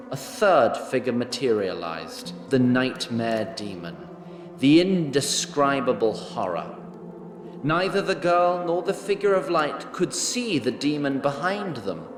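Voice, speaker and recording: A male narrator tells a fantasy story at an unhurried pace, with wide swings in pitch. His delivery is measured and dramatic, sometimes wry, in an echoey, reverberant sound. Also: lively intonation, faint music behind.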